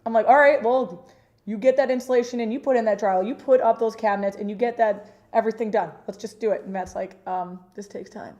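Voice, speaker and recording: A woman with a naturally deep voice, still hoarse from losing it, chatting and teasing in a casual talk. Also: fairly even intonation, fast, a little mellow. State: hoarse, recovering from lost voice. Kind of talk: casual chat.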